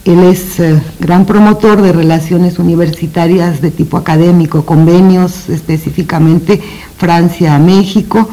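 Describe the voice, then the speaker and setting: A woman hosting a formal interview, introducing her guest in measured tones and posing questions. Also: on the low side, fairly even intonation, dark tone, low-quality recording. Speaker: interviewer, host.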